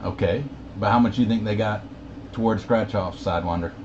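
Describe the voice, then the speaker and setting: A man's voice: lowish voice, brisk. A man in casual conversation, transmitting over a CB radio. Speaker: CB radio operator. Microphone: CB radio microphone, heard through receiver. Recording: muffled CB radio reception with static.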